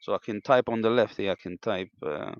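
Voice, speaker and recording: A man giving an unscripted, step-by-step technical demonstration in a hesitant, halting way, in a slightly muffled room recording. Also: lowish voice, clean tone. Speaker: amateur radio operator demonstrating equipment.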